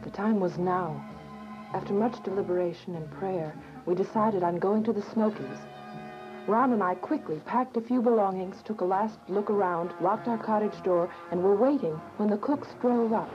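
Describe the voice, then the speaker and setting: A woman's voice: mid-range voice, normal speed, natural voice. A woman playing an earnest, devout, anxious woman who narrates and speaks in a radio drama. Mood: solemn, reflective. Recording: muffled radio drama with music behind.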